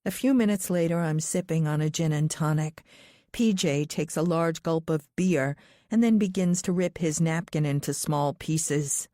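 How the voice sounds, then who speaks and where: A woman narrates a thriller audiobook in the first person. Her voice sits low, and the sound is dry with no room around it. The delivery is scripted storytelling. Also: normal speed, warm tone, no room sound.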